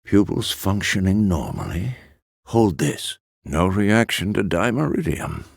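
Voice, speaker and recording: A gruff old monster-hunter mentor from a fantasy game. His gravelly, husky voice swings freely in pitch, and he speaks in terse, wry phrases. The recording is close and dry. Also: animated, measured pace, no echo, exaggerated voice. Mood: dry, measured. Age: older. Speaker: video game voice actor. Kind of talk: scripted video game character dialogue.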